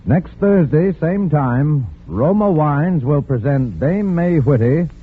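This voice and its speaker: Animated man announcing on old radio. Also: a little high, slow, slightly rough. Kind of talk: formal 1940s radio announcing. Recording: noisy, phone-like old radio recording.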